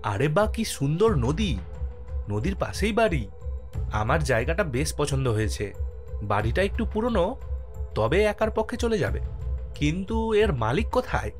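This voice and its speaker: Man acting an animated, easygoing postmaster in horror cartoon. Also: fairly high pitch, normal speed, pauses often. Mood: pleased, curious. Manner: expressive, dramatic. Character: male village postmaster, friendly, easygoing, narrating his ghost story. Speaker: first-person narrator and lead character. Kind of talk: dramatized horror cartoon storytelling. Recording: music under the voice.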